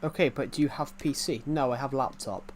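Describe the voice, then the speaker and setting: Young male streamer, twenties, mid-pitched, rambling and repetitive, casual chat to viewers, faint background noise. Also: fairly quick. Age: 20s. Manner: rambling, repeats himself, answers chat. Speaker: gaming streamer. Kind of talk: casual live-stream chat while playing.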